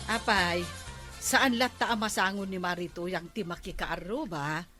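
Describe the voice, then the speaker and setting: An actress with an Ilocano accent playing an unhurried village woman in a radio comedy drama. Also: lowish voice, over music, close to an everyday voice. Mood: grumbling.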